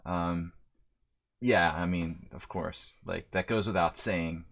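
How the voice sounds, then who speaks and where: A man's voice: sits low, steady tone, slow pace, vocal fry, a bit bright. A man streaming a chess simul, chatty and self-deprecating, thinking aloud over his moves. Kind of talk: live-stream commentary.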